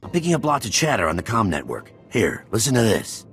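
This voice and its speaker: An actor playing a capable, helpful technical officer in a video game, briskly giving information. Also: male voice, fairly low pitch, wildly swooping pitch, loud music behind the voice. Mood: focused, concerned. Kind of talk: video game briefing dialogue.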